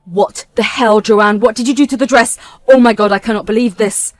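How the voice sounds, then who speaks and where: A woman's voice: a little high, normal speed, close to an everyday voice. Woman voicing an angry young fiancé. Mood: shocked. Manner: loud and stern.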